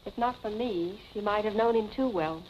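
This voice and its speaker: Poised, firm young American wife played by an actress, vintage film melodrama, muffled hissy old recording. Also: female voice, mid-range voice, normal speed, natural voice. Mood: composed. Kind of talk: 1940s film acting. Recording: dull, noisy 1940s film soundtrack.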